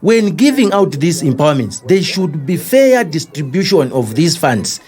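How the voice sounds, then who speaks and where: Animated, high-voiced Zambian uncle. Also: male voice, normal speed. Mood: opinionated. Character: opinionated, hearty city uncle and husband who loves food. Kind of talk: audio drama acting.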